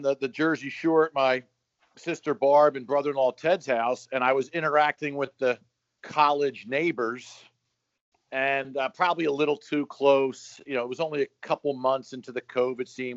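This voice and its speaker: A middle-aged man co-hosting a podcast, telling stories in a lively, joking, casual way. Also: medium pitch, no room sound. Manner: animated storyteller, joking. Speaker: podcast co-host. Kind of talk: casual chat. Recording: dry, slightly dull sound.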